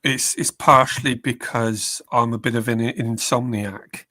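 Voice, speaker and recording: A man's voice: mid-range voice, fairly even intonation, unhurried, sharp tone, no room sound, clean studio sound. A man with a cold, chatting hesitantly as a guest on a live stream. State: bunged up with a cold. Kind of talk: casual chat.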